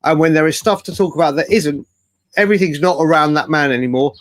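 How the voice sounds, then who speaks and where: A man's voice: fairly high pitch, quick pace, no echo. An English man hosting a football fan show, chatting in a casual, matey way and often wandering off mid-thought. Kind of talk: casual live fan debate.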